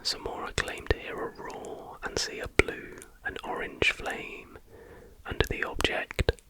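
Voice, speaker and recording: A man whispers softly and without hurry in an informal ASMR narration, as the host of the video. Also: measured pace.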